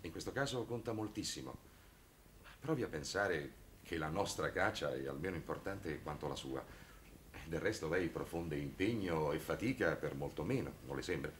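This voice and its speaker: An actor playing a calm, earnest priest in a 1960s television drama, speaking in a measured, articulate, firm way. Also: male voice, a bass voice. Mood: firm, persuasive. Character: calm, earnest priest defending his young charges. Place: studio set of a furnished room. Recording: noisy old television soundtrack.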